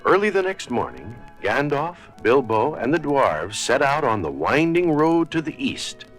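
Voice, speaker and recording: A man narrating a scripted children's story in a dramatic, deliberate storybook style. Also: mid-range voice, sing-song, soft background music.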